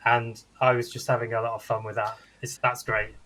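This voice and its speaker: A man's voice: medium pitch, level tone, brisk, bright, forceful tone, low-quality recording. A man chatting casually and enthusiastically as a co-host reviewing films, in a rambling way. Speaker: podcast co-host and film reviewer. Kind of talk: casual film review chat.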